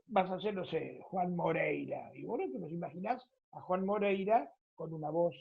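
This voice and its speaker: A man's voice: high voice, expressive, moderate pace, gravelly, slightly rough, narrow, tinny audio. A man with an Argentine accent hosting a conversational radio programme, speaking in an informal, affectionate way. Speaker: radio host and interviewer. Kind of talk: conversational radio interview with closing book recommendation.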